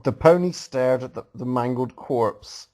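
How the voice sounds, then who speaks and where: A man's voice: medium pitch, measured pace, mellow. A man reading a story aloud to a group as host, reacting casually and often amused as he goes. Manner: amused, breaking into laughter while reading.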